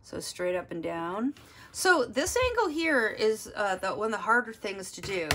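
A woman's voice: mid-range voice, big pitch swings, normal speed, pushed and bright, slight background noise. Woman art teacher talking while drawing. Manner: explains while drawing, thinking aloud. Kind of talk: step-by-step drawing lesson. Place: desk, overhead camera on drawing.

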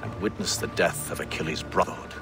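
A man's voice: low-pitched, fairly quick, lightly acted. A middle-aged man voicing a cold, formal grand master who speaks in a dry, commanding way in video game cutscenes. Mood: resolute. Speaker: game character. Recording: game audio with music score.

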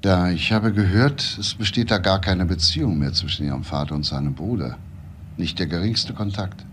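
An actor playing a calm, persistent homicide detective who asks short, matter-of-fact questions in a crime drama. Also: male voice, low voice, moderate pace, a little hiss or hum. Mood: businesslike.

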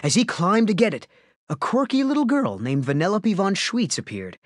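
A man narrating a children's story from a script, with expressive storytelling emphasis. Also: high voice, normal speed, no room sound, studio quality.

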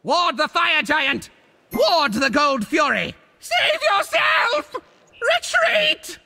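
Manic high-pitched cartoon monster villain. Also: male voice, big pitch swings, takes it slowly, vocal fry, pushed and bright, a little hiss or hum, full character voice. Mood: commanding, urgent. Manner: hammy, swooping, villainous delivery. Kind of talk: cartoon game voice lines.

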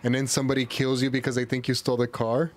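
A man's voice: mid-range voice, monotone, fast talker, no echo. A young man in a casual podcast chat, slangy and often posing questions to the group. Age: young adult. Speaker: podcast panelist. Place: small room with couches, indoors.

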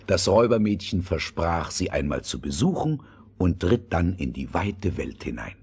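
A man narrating a scripted fairy tale in a measured, expressive storytelling manner. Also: sits low, a little hiss or hum. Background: music.

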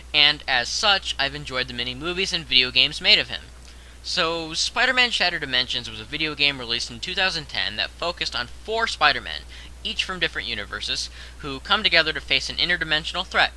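A man's voice: medium pitch, pushed and bright, a little hiss or hum, poor audio. A young man narrating a scripted top-ten countdown as host, in a conversational way that addresses the viewer directly.

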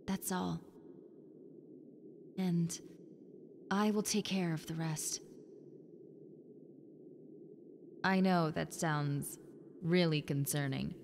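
A woman voicing a friendly, needy young female ghost in an intimate roleplay, softly coaxing the listener with hesitant pauses. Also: fairly low pitch, steady tone. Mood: soft, reassuring. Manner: soft, coaxing, hesitant pauses, sometimes near whisper. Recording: long reverb, clear background noise and ambience.

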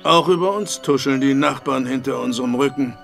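A man's voice: medium pitch, brisk, creaky, fairly bright tone, faint music behind. An actor playing a brooding, guarded father in a period mystery radio play, speaking tersely. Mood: wary, bitter.

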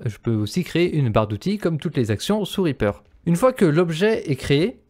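A man's voice: fairly high pitch, darkish tone. A man presenting an instructional screencast as a voice-over. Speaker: tutorial presenter.

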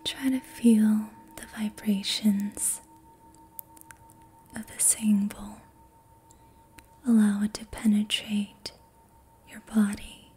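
A young adult woman whispering softly and breathily close to the mic, guiding a relaxation in a gentle, soothing ASMR style. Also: medium pitch, level tone, husky, faint music behind. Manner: slow, gentle, soothing instruction. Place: small dim indoor room. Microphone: close studio mic with foam windscreen.

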